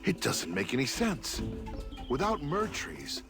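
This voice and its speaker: A man's voice: fairly high pitch, wildly swooping pitch, a little slow, full character voice. A man voicing an earnest, puzzled adventuring companion in a video game, questioning and hesitant. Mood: confused, troubled. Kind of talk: video game cutscene acting. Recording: music under the voice.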